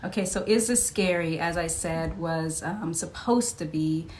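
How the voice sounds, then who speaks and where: A woman's voice: deep voice, a little slow, a little mellow. Chatty woman guest, faint background noise. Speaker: interview guest. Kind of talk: casual anecdotal podcast chat. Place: live podcast taping.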